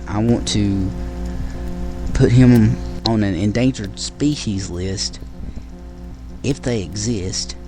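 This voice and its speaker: Slow, low-voiced male podcast host. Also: poor audio. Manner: rambling, with many fillers and restarts; friendly sign-off to listeners. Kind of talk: casual solo show talk.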